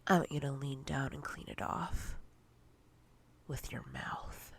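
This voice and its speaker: A woman playing a domineering, menacing corporate boss in a breathy roleplay ASMR whisper, commanding and condescending in a deliberate way. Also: very deep voice, fairly even intonation, heavily acted. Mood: cold, commanding.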